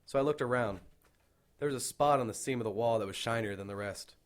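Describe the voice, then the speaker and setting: A man's voice: medium pitch, fast, lightly acted. An actor playing an earnest young rookie policeman with a matter-of-fact manner in an audio drama. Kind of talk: radio drama acting.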